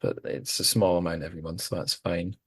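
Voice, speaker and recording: A man's voice: low-pitched, fairly even intonation, brisk, breathy edge. A man hosting a podcast, chatting casually with guests, rambling and self-deprecating with many restarts. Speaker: podcast host. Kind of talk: casual group chat.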